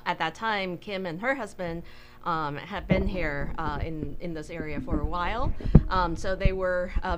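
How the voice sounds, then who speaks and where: A woman from Taiwan speaks as an interview guest in a slightly low voice. She tells things casually and haltingly, thinking aloud as she goes. There is faint noise and hum behind her. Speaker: interview guest, bakery co-owner. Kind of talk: casual conversational storytelling.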